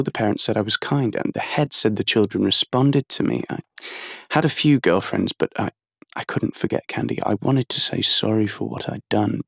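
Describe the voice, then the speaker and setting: A gentle young British man confides his regrets in an audio drama monologue. His voice is fairly low and gravelly, hesitant, and recorded close and dry. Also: no echo.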